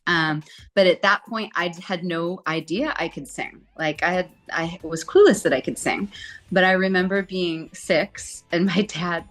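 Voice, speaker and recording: Chatty, lively woman telling her story. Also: on the low side, unhurried, faint music behind. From Southern California. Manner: chatty, animated, acts out quotes. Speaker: interview guest, singer. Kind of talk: casual storytelling interview.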